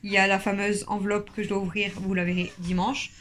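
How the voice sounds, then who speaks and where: A woman's voice: medium pitch, little pitch movement, quick pace, a bit bright. A woman, chatting casually in a home family vlog and laughing often. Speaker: family vlogger, mother.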